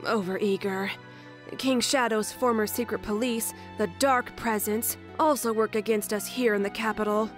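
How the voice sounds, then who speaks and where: Woman acting a regal female squirrel queen, fairly high voice, composed, diplomatic, cartoon dub. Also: fairly even intonation, normal speed, faint music behind, natural voice.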